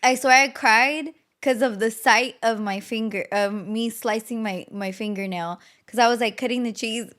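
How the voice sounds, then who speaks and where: A young woman co-hosting a casual podcast, telling stories in an animated way with swearing and laughter. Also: fairly high pitch, moderate pace, hardly any pauses. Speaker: podcast co-host. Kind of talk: casual chat.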